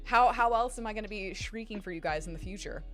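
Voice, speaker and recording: A woman's voice: medium pitch, quick pace, fairly bright tone. A young woman streamer chatting casually with her viewers, her voice strained and tired, rambling and swearing freely. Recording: faint music behind voice. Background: faint music.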